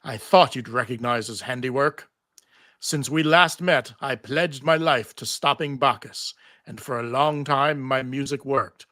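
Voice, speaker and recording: A man voicing a tormented, bitter male bard cursed to be a severed head, gravely, in a comedic table read. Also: mid-range voice, a little slow, sharp tone, no echo, clean studio sound. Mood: anguished, grave.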